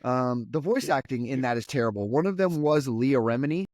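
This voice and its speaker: A man's voice: mid-range voice, animated, a little fast, a little mellow, no room sound, clean studio sound. A man co-hosting a movie podcast, hesitant with many "um"s but blunt in his verdicts. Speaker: podcast co-host. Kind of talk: casual movie review chat.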